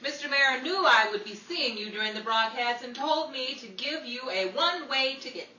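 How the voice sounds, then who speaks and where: Woman acting a bright, sardonic starlet, echoey radio. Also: sits high, animated, normal speed. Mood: exasperated. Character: sardonic, exasperated Hollywood starlet, young woman. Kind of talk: 1940s old-time radio comedy acting. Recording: echoey, distant mic with faint crowd and noise.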